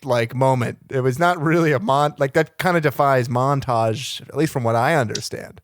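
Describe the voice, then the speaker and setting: A man's voice: medium pitch, moderate pace, no room sound, studio quality. A man hosting an improvised comedy conversation, politely interrupting with questions and nitpicks.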